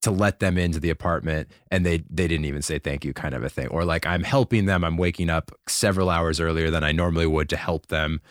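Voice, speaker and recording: Deep-voiced reflective man in his thirties. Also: fast, few pauses, no echo, studio quality. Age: 30s. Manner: reflective, candid, self-deprecating. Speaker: podcast guest, keyboard player. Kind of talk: casual chat.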